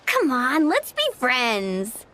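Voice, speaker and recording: Bubbly, very high-voiced young woman. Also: wildly swooping pitch, slow, exaggerated voice. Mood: delighted, coaxing. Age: young adult. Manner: bubbly, gushing, breezy. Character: Mualani, bubbly young woman, friendly outdoorsy beach girl. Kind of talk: animated video game voice acting. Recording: loud background music.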